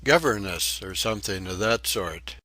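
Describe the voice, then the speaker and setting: A man playing a snobbish, dismissive young gentleman in an audiobook drama, clipped and offhand. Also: lowish voice, lively intonation, moderate pace, no echo. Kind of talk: dramatic audiobook reading of a classic novel.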